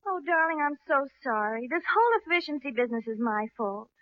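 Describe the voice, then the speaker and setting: Devoted young fiancée, high voice, affectionate, gushing, muffled radio comedy recording. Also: female voice, expressive, quick pace. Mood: tender. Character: devoted, sweet-talking young fiancée, gently teasing. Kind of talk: mid-century radio comedy acting.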